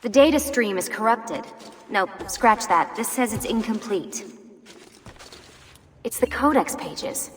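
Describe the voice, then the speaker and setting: A brisk tech operator. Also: female voice, a little high, expressive, slight background noise. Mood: businesslike. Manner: brisk, matter-of-fact. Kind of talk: video game dialogue. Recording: long reverb.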